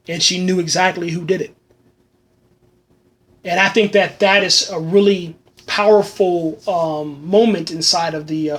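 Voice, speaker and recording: A man hosting a solo film review podcast, talking casually and enthusiastically with lots of fillers, a bit hoarse as he loses his voice. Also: sits high, fairly bright tone. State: losing his voice.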